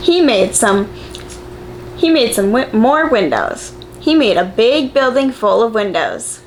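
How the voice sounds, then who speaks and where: A woman reading a picture book aloud to young children, expressive and encouraging, putting simple questions to them like a preschool teacher. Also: fairly high pitch, measured pace, background noise.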